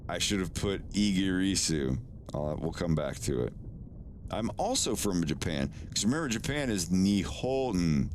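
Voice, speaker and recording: A man streaming live in casual chat, relaxed, often stammering and repeating words and swearing now and then. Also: sits low, a little slow, a little hiss or hum. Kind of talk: casual live-stream chat while doing language lessons.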